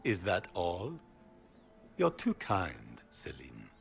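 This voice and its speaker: An actor playing a proud, scheming duke in a fantasy game, haughty and sardonic in delivery. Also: male voice, low-pitched, wildly swooping pitch, slow, creaky, soft background music, full character voice. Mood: sarcastic. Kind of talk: theatrical fantasy game acting.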